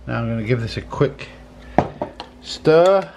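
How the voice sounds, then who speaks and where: A man in his sixties giving a casual, unhurried cooking demonstration in a home kitchen, often repeating his phrases as he goes. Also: medium pitch, expressive, vocal fry. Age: 60s. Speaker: home cook, presenter. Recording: clattering dishes, clicks, kitchen noise.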